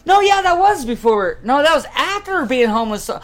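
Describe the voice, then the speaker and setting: A man in his thirties chatting casually and telling personal stories in a rambling, animated, profane way. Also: extremely high pitch, a little fast. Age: 30s. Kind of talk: casual chat, personal anecdotes.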